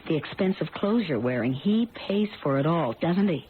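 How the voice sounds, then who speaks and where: An actress playing a mild, lovelorn woman turned jealous avenger, speaking earnestly and deliberately in radio drama style. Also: low voice, animated. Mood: accusing. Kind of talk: old-time radio drama acting. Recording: noisy, tinny old radio recording.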